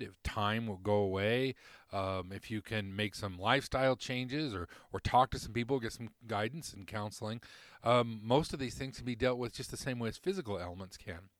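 Halting, reflective male podcast host. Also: medium pitch, dry recording, studio quality. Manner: halting, repeats words and self-corrects often. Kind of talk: reflective solo podcast monologue.

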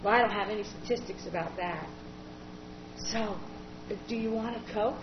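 An actor playing an eager, earnest young sibling who chatters on. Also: female voice, mid-range voice, normal speed, close to an everyday voice. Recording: echoey, dull recording with noise.

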